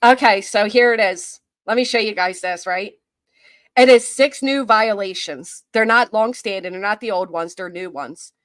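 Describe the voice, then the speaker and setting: A woman's voice: mid-range voice, moderate pace, dry recording. A woman hosting casual livestream commentary, blunt and sarcastic, coughing as she loses her voice. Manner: blunt, sarcastic, laughs and swears.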